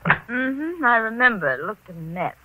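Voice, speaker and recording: An actress playing a detective's breezy, playful young wife, airy and coy, in an old radio mystery. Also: female voice, fairly high pitch, sing-song, unhurried, noisy background. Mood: light, playful. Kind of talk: 1940s radio mystery acting.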